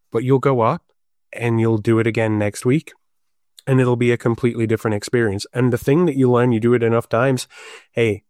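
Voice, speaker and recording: A man's voice: medium pitch, darkish tone, dry recording, studio quality. A man with a Liverpool accent, a planner and improviser, talking animatedly and self-deprecatingly in an interview. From Liverpool, England. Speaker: guest, urban planner and improv comedian. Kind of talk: conversational podcast interview.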